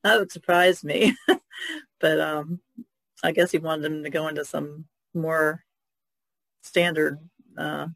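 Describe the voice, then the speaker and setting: A woman's voice: low voice, creaky, no room sound, rough recording. An American woman co-hosting a podcast in casual discussion. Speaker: podcast co-host.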